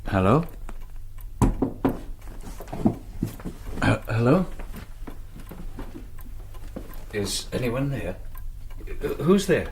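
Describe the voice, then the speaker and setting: An actor playing a troubled, bookish young Presbyterian minister in a period radio drama, speaking in formal, scholarly, archaic phrases. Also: male voice, medium pitch, big pitch swings, a little slow, creaky, noisy background. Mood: uneasy.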